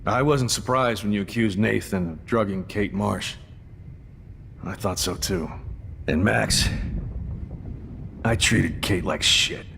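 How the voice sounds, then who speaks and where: An actor playing a gruff, middle-aged war veteran and stepfather, brooding and terse, in a video game drama. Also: lowish voice, takes it slowly, pauses often, a bit bright, big-room sound, slight background noise, exaggerated voice. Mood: bitter, self-blaming.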